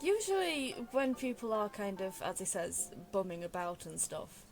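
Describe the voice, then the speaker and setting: A woman's voice: medium pitch, very animated, moderate pace, rough recording. A young woman giving casual game commentary, earnest and hesitant as she thinks aloud. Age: young adult. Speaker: game streamer. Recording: faint game music behind. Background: game music.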